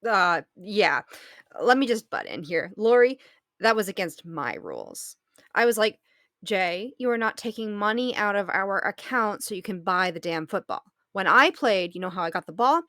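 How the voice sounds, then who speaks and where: A woman's voice: medium pitch, big pitch swings, moderate pace, bright, forceful tone, dry recording, studio quality. A woman playing a bossy, teasing spouse and rival player who talks in a blunt, punchy, scolding way. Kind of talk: acted banter in a table read.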